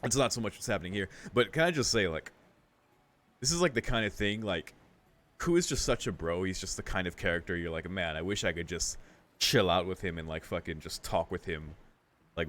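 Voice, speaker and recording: A man's voice: sits low, brisk, fairly bright tone. A man hosting a gaming video, reading aloud and commenting in a casual, rambling, slangy way.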